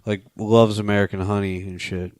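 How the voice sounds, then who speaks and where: Blunt, sarcastic man with a lowish voice. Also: little pitch movement, moderate pace, a little mellow, no echo, clean studio sound. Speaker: film podcast co-host. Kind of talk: casual, profane film discussion.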